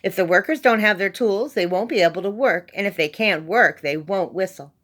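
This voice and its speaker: A woman's voice: medium pitch, brisk, a bit bright, close to an everyday voice. A woman voicing a grouchy, scheming, sleepless big furry male creature from a storybook, grumbling and exclaiming.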